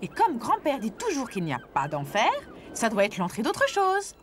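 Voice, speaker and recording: A woman voicing an eager, adventurous young boy in a cartoon, excitable and cheeky. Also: a little high, normal speed, background noise. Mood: excited. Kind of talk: cartoon dub in French. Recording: music behind the voice.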